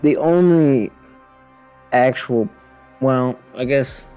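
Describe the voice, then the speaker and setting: A man streaming a game, dropping casual, deadpan, sarcastic asides. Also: mid-range voice, very slow, smooth, soft background music. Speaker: live streamer.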